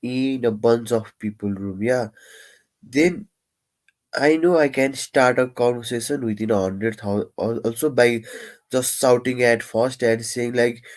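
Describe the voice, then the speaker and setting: A young man talks slowly and thoughtfully on a live stream, in a mid-range voice. He stammers now and then and keeps stopping to restart his sentences with fillers. The delivery is unhurried and candid, like thinking out loud. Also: medium pitch. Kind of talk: casual reflective live-stream monologue.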